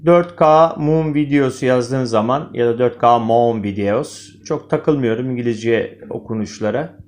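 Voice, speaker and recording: A man's voice: mid-range voice, moderate pace. A man presenting informal video commentary, speaking directly to viewers in an opinionated, questioning way. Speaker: video host, amateur presenter.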